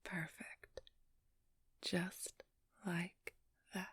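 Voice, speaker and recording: Woman doing a sly haughty vampire-ish thief lady for asmr, super breathy whisper, close dry sound, formal n condescending. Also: lowish voice, little pitch movement, extremely slow, a bit bright, dry recording, full character voice. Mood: menacing, seductive. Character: cunning, haughty female supernatural thief posing as scholar.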